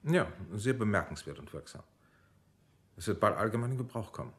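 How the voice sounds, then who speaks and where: Deep voiced military doctor in radio drama, cool and technical, measured, bit cautious. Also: male voice, lively intonation. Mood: brisk, assured. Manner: measured, explanatory, with hesitant pauses. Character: male army doctor, clinical, cautious, coolly technical interrogation specialist.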